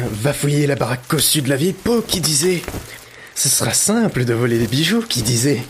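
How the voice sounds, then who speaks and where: A man's voice: medium pitch, very animated, fairly quick, background noise, close to an everyday voice. An actor playing a cocky male thief in an audio drama, muttering to himself and foul-mouthed. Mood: panicked, cursing. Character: cocky, cursing male burglar on a job. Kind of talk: French audio drama acting.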